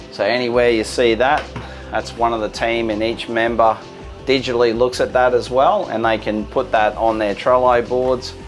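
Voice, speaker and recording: A man, giving an informal walk-through tour of a caravan factory as company head. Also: lowish voice. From Australia. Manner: upbeat, informal, gestures at fittings while walking. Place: large indoor caravan factory and van interior.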